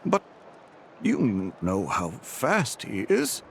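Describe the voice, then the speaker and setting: An actor playing a worried, hesitant subordinate in a video game, apologetic and halting. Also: male voice, on the low side, wildly swooping pitch, gravelly, a little hiss or hum, full character voice.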